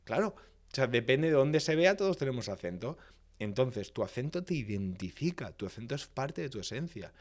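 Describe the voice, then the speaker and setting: A man's voice: medium pitch, sing-song, moderate pace. A man from Valencia, Spain, a public speaking expert, explaining his points in a podcast interview with emphatic, didactic repetition. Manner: didactic, emphatic, lists points and repeats phrases for rhythm.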